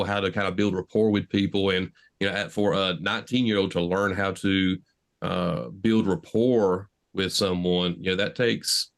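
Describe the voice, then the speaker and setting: A man's voice: low-pitched, fairly bright tone. A younger man, co-host and EMS instructor, in reflective casual chat with many fillers.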